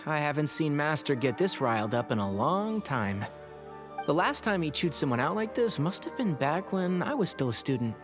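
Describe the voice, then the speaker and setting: An actor playing a polite, easygoing young scholar in a video game, mild and courteous. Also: male voice, a little high, big pitch swings, moderate pace. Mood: amazed. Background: music.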